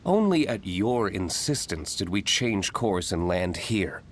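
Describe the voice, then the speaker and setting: A man's voice: low-pitched, big pitch swings, a little slow, a little hiss or hum. A man playing a stern, brooding young nobleman in a video game, speaking in a terse, dry, skeptical manner. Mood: curt. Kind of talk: video game cutscene acting.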